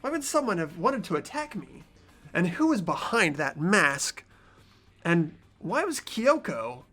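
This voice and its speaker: A performer voicing an anxious, bewildered high school boy, reading visual novel narration in an earnest, dramatic, hesitant way. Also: very animated, unhurried, a bit bright. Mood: alarmed realization. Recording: background music behind voice.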